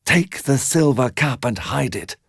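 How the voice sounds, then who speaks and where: A man voicing a fisherman's soul in a bedtime story, a calm, sly tempter with a coaxing, soothing delivery. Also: medium pitch, unhurried, vocal fry, slightly rough, no room sound, studio quality, pitched above the performer's own voice, heavily acted. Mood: sly, commanding.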